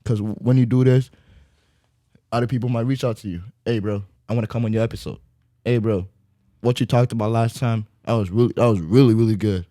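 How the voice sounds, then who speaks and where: A young man in his twenties with a lowish, warm voice chats on a podcast. He talks in quick, halting bursts and often repeats himself. The recording is dry and close. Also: fairly even intonation, no echo. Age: 20s. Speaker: podcast co-host. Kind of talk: casual podcast chat.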